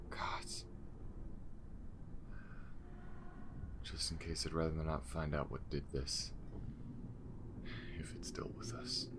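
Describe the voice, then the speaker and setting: A man plays a wary, injured alien spacefarer in an intimate ASMR roleplay. The voice is extremely low and gravelly, moving slowly through hesitant, half-whispered asides with a dry, wry streak. A clear layer of noise sits behind the male voice. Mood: nervous, startled. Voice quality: very deep, gravelly. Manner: hesitant, casual, muttering with sighs and half-whispers. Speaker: voice actor. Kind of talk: ASMR audio roleplay, one side of a conversation.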